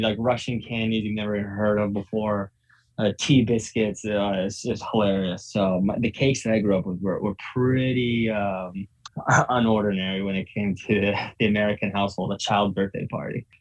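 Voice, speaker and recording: A man's voice: fairly low pitch, fairly even intonation, moderate pace, low-quality recording. A young man, born in Ukraine and raised in Virginia, chatting casually as a podcast guest, often laughing and repeating words. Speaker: guest, professional tennis player.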